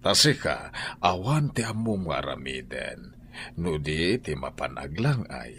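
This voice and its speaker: A man's voice: a bass voice, very animated, a little slow, fairly bright tone, a little hiss or hum, heavily acted. An actor with an Ilocano accent playing a stern wealthy husband who lectures his wife in a radio drama. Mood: reproachful.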